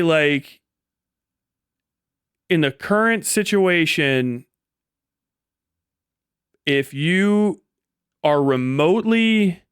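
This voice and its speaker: High-pitched, slangy man livestreaming commentary. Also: clear, resonant tone, no echo, clean studio sound. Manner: heavy swearing, slangy. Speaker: live streamer and political commentator. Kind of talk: casual unscripted livestream commentary.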